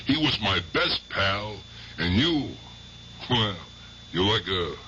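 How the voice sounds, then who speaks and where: An actor playing a retired gangster in his late fifties, gruff and streetwise, in a radio drama. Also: on the low side, animated, slow pace, pushed and bright, muffled recording, exaggerated voice. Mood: fond, wistful. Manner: gruff streetwise slang, clipped retorts, repeated "hey" and "huh". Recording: noisy old radio drama recording.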